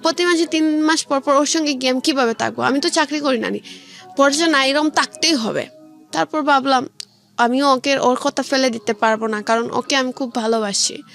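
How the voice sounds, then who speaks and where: High-voiced young Bangladeshi woman over music. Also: fairly bright tone. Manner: colloquial, recounts conversations as direct quotes. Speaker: guest telling her life story. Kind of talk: personal storytelling in a radio interview. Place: radio studio. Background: background music.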